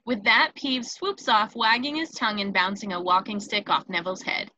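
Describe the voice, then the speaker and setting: A woman's voice: mid-range voice, steady tone, normal speed, sharp tone, muffled recording. A woman narrator reading out scripted screen directions for a table read.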